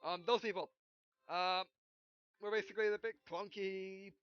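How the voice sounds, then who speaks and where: A woman hosting a let's play, reading game dialogue aloud and commenting in a halting way, often repeating words. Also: medium pitch, fairly even intonation, clean tone, highs cut off. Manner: halting, repeats words, reads punctuation and speaker names aloud. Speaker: gaming video host. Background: game music.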